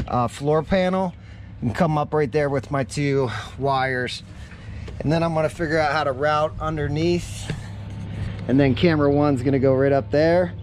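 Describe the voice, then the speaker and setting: A man's voice: on the high side, a little mellow. A man giving a casual, folksy how-to narration while he works hands-on, thinking aloud in informal language. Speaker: vlogger showing a DIY truck install. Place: outdoors in and around a parked truck in a driveway. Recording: clear background noise, vehicle ambience.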